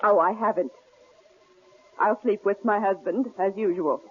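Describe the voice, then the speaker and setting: An actress playing a frightened, loyal wife in an old radio drama, breathless and pleading. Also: female voice, mid-range voice, a little slow, lightly acted. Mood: anxious. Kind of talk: 1940s radio acting. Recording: tinny old radio broadcast with faint hiss.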